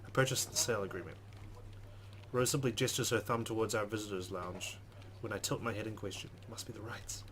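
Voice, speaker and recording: Fast-talking man with a low voice. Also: fairly even intonation, a bit bright, slight background noise. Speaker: let's play host. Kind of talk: reading a visual novel aloud with asides.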